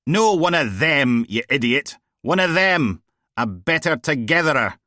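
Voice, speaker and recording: A man voicing a brash, crude middle-aged woman who runs a police team, loud and mocking. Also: mid-range voice, animated, moderate pace, no room sound, studio quality, pitched above the performer's own voice, natural voice. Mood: outraged.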